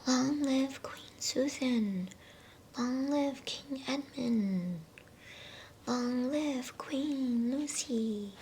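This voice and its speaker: Woman as storybook crowd hailing royalty: raised, drawn-out whispered cheer, solemn, slight noise. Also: high-pitched, darkish tone, voice pushed up, full character voice. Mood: solemn acclaim. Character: crowd solemnly hailing new kings and queens.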